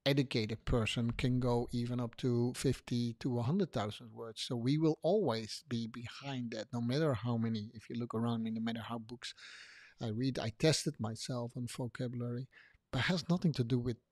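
A man's voice: mid-range voice, fairly even intonation, moderate pace, a little mellow, no room sound. Man, explaining. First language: Dutch. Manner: thoughtful, explains with examples. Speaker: podcast co-host. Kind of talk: casual conversation.

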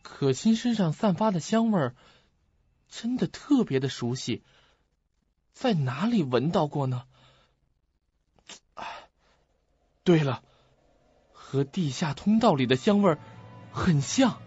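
Man acting a high, lively, puzzled young sleuth. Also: takes it slowly, long pauses, husky, no echo, heavily acted. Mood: puzzled, probing. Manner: questioning, musing to himself. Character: earnest, puzzled young male student playing detective. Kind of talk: audio drama acting. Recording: muffled lo-fi audio.

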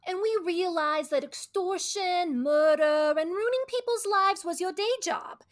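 Woman playing a high-voiced, brash mob wife. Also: unhurried. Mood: grand, conciliatory. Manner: sharp-tongued, blunt. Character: brash, scolding mob boss's wife and mother. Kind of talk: comedic radio play acting.

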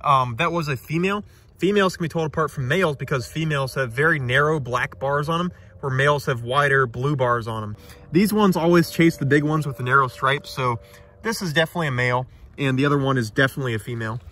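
A young man vlogging outdoors in a tidal marsh at night, talking excitedly and casually about the fish he catches. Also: medium pitch, quick pace. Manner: enthusiastic, chatty, slangy. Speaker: micro-fishing vlogger. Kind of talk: casual outdoor vlog narration.

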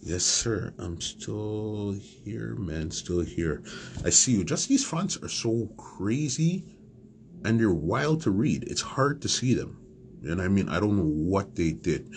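Animated man hosting a live stream. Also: fairly low pitch, takes it slowly, a little hiss or hum. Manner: animated, hypes the audience with shout-outs. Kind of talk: casual live stream chat. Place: small room at home, blue curtain behind. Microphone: phone or webcam at close range.

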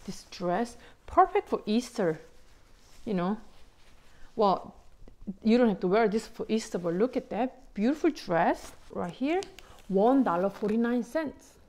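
A middle-aged woman, not a native English speaker, excitedly chatting to viewers about bargain clothes in simple English with many "you know"s. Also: on the high side. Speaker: vlogger showing a shopping haul. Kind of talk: casual chat to viewers.

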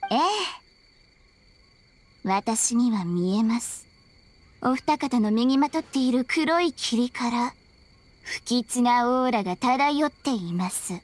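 A woman's voice: fairly high pitch, expressive, slow pace, long pauses. A woman voicing a cryptic young shrine maiden in a video game, polite, mysterious and playfully ominous. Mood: ominous, teasing. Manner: polite, mysterious, theatrically foreboding. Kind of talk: Japanese video game voice acting.

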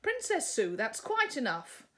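A woman's voice: high voice, huge pitch swings, unhurried, pushed and bright, pitched above the performer's own voice, heavily acted. A woman voicing a boastful, bossy young prince from a storybook, grandiose and condescending. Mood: huffy. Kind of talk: storybook character reading.

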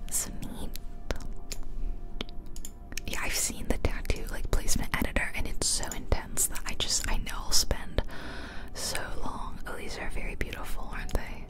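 A woman's voice: moderate pace, over loud music. A woman whispering close to the microphone in an ASMR style, casually musing aloud as she comments on a game. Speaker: ASMR video creator. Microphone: close ear-to-ear ASMR microphone.

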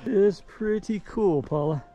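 A man's voice: high-pitched, slow pace, warm tone, highs cut off. A man in his fifties hosting a travel vlog, talking casually and upbeat while hiking a desert canyon trail with a handheld camera. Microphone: handheld camera at arm's length.

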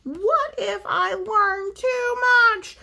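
A woman's voice: very high-pitched, extremely slow, creaky, bright, forceful tone, pitched above the performer's own voice, full character voice. A woman voicing an anxious, whiny young cartoon pigeon from a storybook, stretching words out for emphasis. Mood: panicked. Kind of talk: storybook read-aloud character voice.

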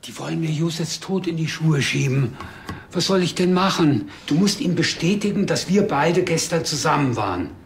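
An actor playing a defensive, evasive middle-aged cinema owner in a TV crime drama. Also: male voice, a little high, expressive, normal speed, gravelly, a little hiss or hum. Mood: pleading.

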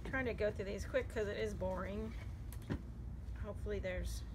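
A woman's voice: mid-range voice, normal speed. A middle-aged woman, chatting casually and upbeat as a vlog co-host while sorting clothes outdoors. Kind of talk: casual chat. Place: outdoors in a yard. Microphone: handheld phone camera. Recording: noisy outdoor phone recording.

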